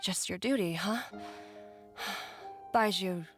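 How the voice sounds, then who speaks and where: Game role: gentle, thankful mother, medium pitch, music underneath. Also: slow. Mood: gently probing. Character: grateful, gentle adult mother.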